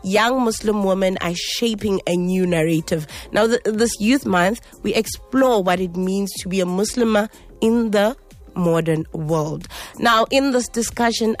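A woman with a mid-range voice hosts an evening radio show. She speaks in measured, partly scripted phrases with fillers and restarts, and a soft music bed runs beneath her in the studio. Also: medium pitch. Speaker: radio host. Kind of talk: radio talk show hosting.

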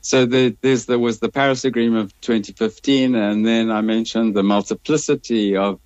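A man, speaking as a professor on a radio show, measured and hesitant, his voice a little croaky from a cold. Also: mid-range voice, little pitch movement, a little mellow, dry recording, highs cut off. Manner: measured, hesitant, many restarts. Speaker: professor, studio guest. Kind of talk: radio interview, explaining.

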